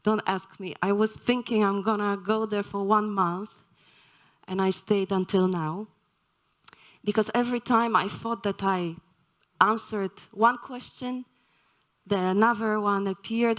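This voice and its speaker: A woman from Poland giving a live lecture, speaking slowly and hesitantly with many fillers. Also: mid-range voice. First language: Polish.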